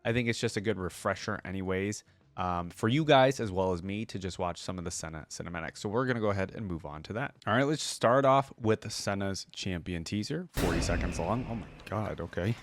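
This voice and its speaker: A man's voice: low-pitched, animated, brisk, no echo. A man reading a story aloud and chatting casually as a reaction video host.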